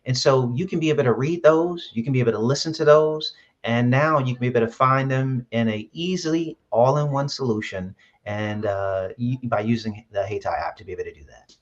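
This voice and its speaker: A man's voice: mid-range voice, fairly quick. A man from Brooklyn, an app founder, answering interview questions earnestly with many fillers and restarts. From Brooklyn, New York. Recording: dull lo-fi sound.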